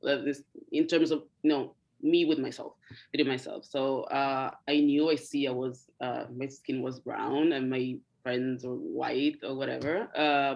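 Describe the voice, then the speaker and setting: A young woman raised in Italy, Italian as her first language, telling her story in a relaxed interview. She talks in hesitant bursts, full of ums and restarts, and breaks into laughter now and then. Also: low-pitched, muffled recording. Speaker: interview guest. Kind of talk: casual interview chat.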